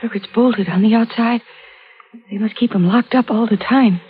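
An actress playing an anxious, frightened sister in a 1940s radio thriller, husky and earnest. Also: female voice, on the high side, moderate pace, slight background noise, lightly acted. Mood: alarmed, anxious. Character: frightened, anxious sister stranded in a sinister house. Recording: dull old radio recording with music.

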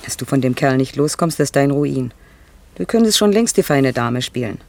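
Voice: medium pitch, expressive, normal speed, gravelly, a little mellow. An actor playing a blunt, scolding parent of a grown daughter in a German radio play, with colloquial, reproachful delivery. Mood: scornful, suspicious.

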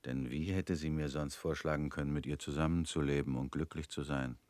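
An actor playing a bitter former convict in a radio play, talking in a measured, brooding way. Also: male voice, rumbling low, fairly even intonation, a little mellow, dry recording.

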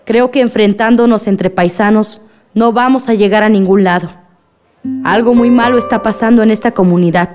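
An actress playing an earnest, grieving village woman who makes measured appeals for peace in a radio drama. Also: medium pitch, darkish tone, close to an everyday voice. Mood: earnest, sorrowful. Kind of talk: radio drama acting, assembly speech. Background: music.

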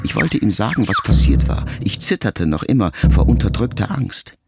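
Low, gravelly, reflective grown son. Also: male voice, big pitch swings, moderate pace, over loud music. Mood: sombre, remembering. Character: reflective grown son addressing his mother, sombre and tender. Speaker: radio play actor. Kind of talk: intimate literary radio play monologue.

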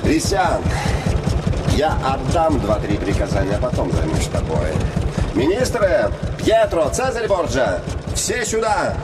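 Bossy curt schemer, mid voice, swoops around, off mic with loud noise, radio play. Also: male voice, mid-range voice, very animated, a little slow. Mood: commanding. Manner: imperious, curt. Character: brisk, commanding, self-important male schemer giving orders. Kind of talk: theatrical radio play acting. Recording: distant voice under loud noise.

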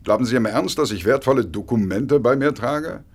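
An actor playing a suave, smug blackmailer in a radio drama, speaking with unctuous mock politeness and drawn-out hesitations. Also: male voice, medium pitch, natural voice. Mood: cool threat. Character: suave, smug male blackmailer, oily and coolly menacing.

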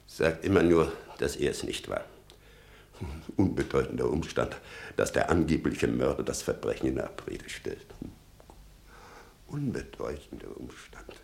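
An actor playing a bitter, sarcastic old father in a German radio play, rambling and muttering with a gravelly voice. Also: extremely low pitch, expressive, a little slow, long pauses, darkish tone, full character voice. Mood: scornful. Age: older. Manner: rambling, sardonic, muttering and flaring up.

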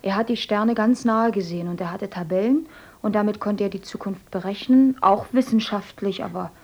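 An actress playing a clever young woman in a radio play who asks soft, polite but probing questions. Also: medium pitch, normal speed, breathy edge. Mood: quiet, curious. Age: young adult. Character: clever, shy young woman, quietly skeptical. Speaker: miller's adopted daughter.

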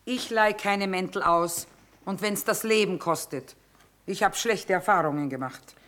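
A woman's voice: medium pitch, unhurried, fairly bright tone, natural voice. A middle-aged actress playing a shrewd, earthy mother who trades among soldiers, blunt and dryly ironic. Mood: gruff, practical. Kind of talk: stage-style radio drama acting.

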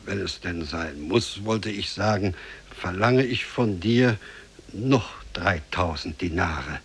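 A man's voice: sits low, takes it slowly, husky, full character voice. A man playing a haughty, greedy nobleman who talks down to others in a 1950s radio play. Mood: grudging, greedy. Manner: haughty, condescending. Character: haughty, mocking, greedy nobleman, father of bride.

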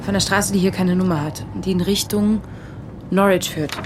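An actress playing a calm, self-assured wife in a naturalistic radio drama, speaking tersely and matter-of-factly. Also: female voice, fairly low pitch, normal speed, heavy background noise, close to an everyday voice. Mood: cool, terse.

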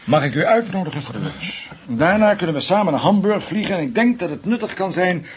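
An actor playing a measured, discreet senior German investigator in a Dutch radio play, speaking in a deliberate, formal way. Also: male voice, sits high, lively intonation, slight background noise. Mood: composed, decisive.

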